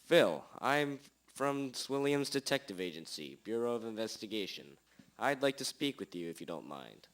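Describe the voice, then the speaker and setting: A man's voice: very animated, normal speed. A young-sounding amateur actor, a man playing an impatient, self-important private detective with formal, theatrical delivery in a radio drama. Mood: businesslike. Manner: formal, theatrical interrogating, condescending to sidekick. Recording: low-quality amateur recording.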